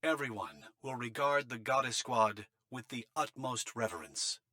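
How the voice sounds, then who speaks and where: An actor voicing a cold, smug official in a game cutscene, speaking formally and with condescension. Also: male voice, mid-range voice, animated, measured pace, bright, forceful tone. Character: cold, smug male official behind a sealed shelter. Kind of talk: scripted game cutscene acting. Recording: music behind the voice. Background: background music.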